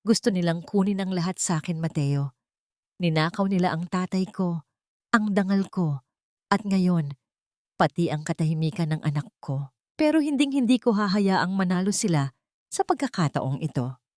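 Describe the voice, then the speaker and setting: A woman's voice: lowish voice, lively intonation, normal speed, darkish tone, no room sound, clean studio sound, close to an everyday voice. A woman narrator voicing a desperate, fiercely protective mother in an audio drama. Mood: angry, determined.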